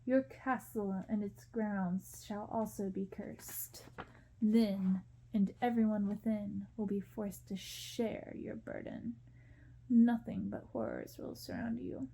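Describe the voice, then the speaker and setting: A woman's voice: mid-range voice, fairly even intonation, slow pace, creaky, dark tone, slight background noise, rough recording. A woman voicing a menacing witch pronouncing a curse in a storybook reading.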